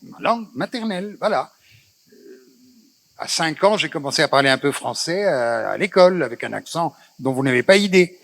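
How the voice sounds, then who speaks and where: Measured man moderating a panel. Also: on the high side, expressive, gravelly, fairly bright tone, no echo. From Morocco. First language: Spanish. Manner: measured, reflective, with frequent pauses. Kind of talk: festival panel discussion.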